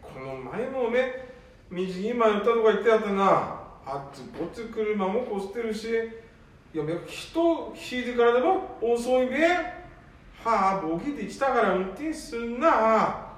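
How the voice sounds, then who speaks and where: Man acting a scolding, high-voiced Nambu-dialect son. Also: lively intonation, measured pace. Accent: Nambu dialect of northeastern Japan. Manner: scolding, blunt, exasperated. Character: stern, angry eldest son scolding his elderly father. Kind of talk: amateur dialect radio drama. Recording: very echoey room, distant mic.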